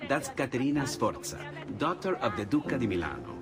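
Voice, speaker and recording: A man's voice: medium pitch, huge pitch swings, fairly quick, music in the background, crowd noise. An actor playing a worldly Renaissance Italian man who cautions his friend, with an Italian accent, in a video game cutscene. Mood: urgent, amused warning.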